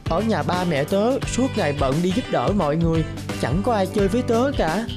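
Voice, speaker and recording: A woman's voice: lowish voice, expressive, a little fast, music in the background, close to an everyday voice. A woman voicing a tiny, sweet girl fairy in a cartoon, childlike and plaintive. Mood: sweet, wistful. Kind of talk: cartoon voice acting.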